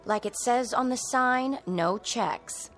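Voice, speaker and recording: A matter-of-fact diner cashier in a radio play. She talks very slowly, plain and skeptical, with music clearly behind her. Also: a little high. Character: matter-of-fact, unhurried female restaurant cashier. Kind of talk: radio drama acting.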